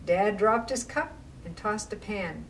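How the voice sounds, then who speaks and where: Slow-paced woman reading aloud for children. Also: medium pitch, lively intonation. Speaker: library storytime reader. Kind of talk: read-aloud children's rhyming story with casual asides. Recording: distant mic with faint background noise.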